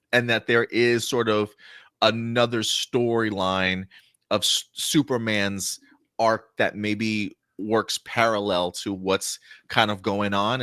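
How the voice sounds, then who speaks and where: Bright, forceful man hosting a discussion. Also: lowish voice, dry recording. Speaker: host of a live entertainment news discussion show. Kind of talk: casual fan discussion and commentary.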